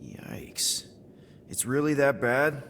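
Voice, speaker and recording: An actor playing a casual, wisecracking space adventurer in a video game, with an informal, flippant way of talking. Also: male voice, medium pitch, lively intonation, slow, a bit bright, slight background noise. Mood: curious. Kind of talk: video game cutscene acting.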